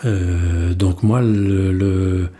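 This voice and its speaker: A middle-aged village mayor from Normandy, telling his story in a halting way with many pauses and restarts. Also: low voice, fairly even intonation, warm tone, slightly rough. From Normandy, France. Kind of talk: interview testimony.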